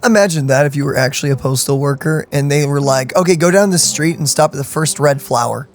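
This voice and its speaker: A streamer giving casual, excitable reaction commentary on a game playthrough. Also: medium pitch, fast. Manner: excitable, animated. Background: game music.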